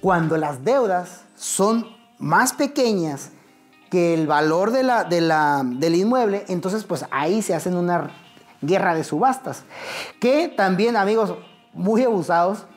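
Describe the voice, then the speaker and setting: A man's voice: high-pitched, expressive, measured pace, soft background music. A middle-aged man with a Mexican accent teaching real estate at a whiteboard, talking straight to the viewer in a conversational, step-by-step way, standing and gesturing in a studio. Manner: conversational, addresses viewer directly, explains step by step. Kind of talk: whiteboard explainer lesson. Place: indoor studio set.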